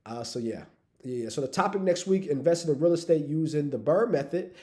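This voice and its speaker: A man hosting a livestream with a cold, raspy and stuffy-nosed, talking casually and hyping up his chat. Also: a little high, brisk, darkish tone. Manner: upbeat, hypes the chat, says "y'all". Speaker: livestream host.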